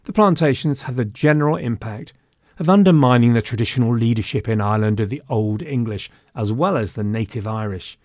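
A man's voice: mid-range voice, darkish tone, no echo, clean studio sound. A man narrating history in a conversational way, with wry asides.

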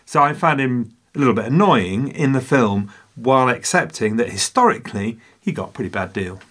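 A man's voice: mid-range voice, animated, fairly quick, fairly bright tone. Wry man with dry humour. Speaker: film podcast co-host. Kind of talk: casual film review chat.

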